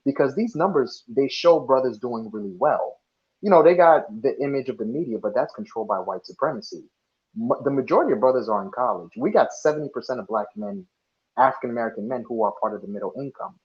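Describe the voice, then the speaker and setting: A man's voice: mid-range voice, animated, quick pace. A young man born in Ghana and raised in the States, talking earnestly as a guest on an online panel, often restarting his sentences. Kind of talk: casual online panel discussion. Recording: dull lo-fi audio.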